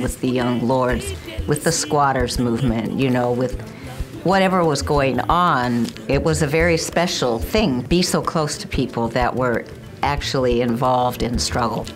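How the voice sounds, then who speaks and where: An older woman reminiscing in an interview as a former member of a collective. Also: extremely low pitch. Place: indoors among bookshelves. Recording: music under the voice. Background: music.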